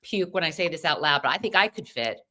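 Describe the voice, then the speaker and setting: A middle-aged American woman with a notably low, deep speaking voice, chatting freely as a podcast guest. She talks loosely, with many fillers and restarts. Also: lively intonation, fast talker, bright, forceful tone. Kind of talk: casual chat.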